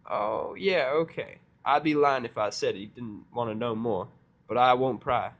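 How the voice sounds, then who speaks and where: A man's voice: mid-range voice, a little slow, a bit bright, dull audio. A man voicing a plain-spoken, skeptical female farm pony who talks in folksy country dialect. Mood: reserved.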